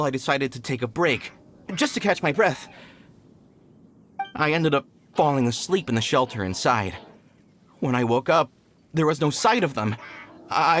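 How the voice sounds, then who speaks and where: A man acting a frightened mine worker in a video game, nervous and halting. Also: a little high, a bit bright.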